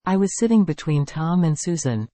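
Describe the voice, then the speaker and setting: A speaker reading short example sentences for an English lesson in a clear, measured way. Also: mid-range voice, animated, dark tone, no echo. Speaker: English teacher.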